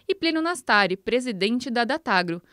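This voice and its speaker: A woman narrating a news report in a clear, even reading voice. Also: on the high side, normal speed.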